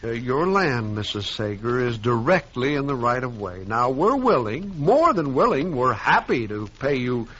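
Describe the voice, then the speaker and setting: A man's voice: medium pitch, very animated, normal speed, few pauses, a bit bright. A man playing an exasperated, officious railroad agent in an old-time radio western, formal and insistent, stammering when flustered. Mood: nervous, insistent. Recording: dull lo-fi recording.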